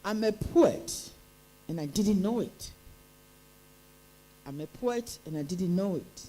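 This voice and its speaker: A woman acting as quiz master, reading each contest question out deliberately and then repeating it. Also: mid-range voice, animated, dark tone, poor audio. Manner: deliberate, reads each line twice.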